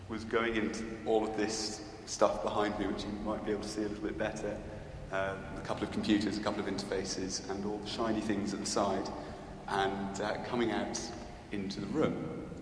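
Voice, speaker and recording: A man from Scotland answers audience questions in a large church, pausing and restarting as he thinks. His voice sits fairly low. The reverb is long, with a steady noise behind him. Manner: hesitant, thoughtful, many fillers and restarts. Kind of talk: unscripted Q&A answers. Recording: echoey church with background noise.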